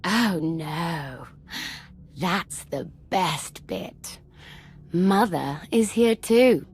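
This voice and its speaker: A lowish, very slow-talking young villainess. She drawls with husky, sinister glee, teasing and taunting as in a video game cutscene. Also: expressive, full character voice. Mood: gleeful, taunting. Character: gleefully menacing young female villain, taunting.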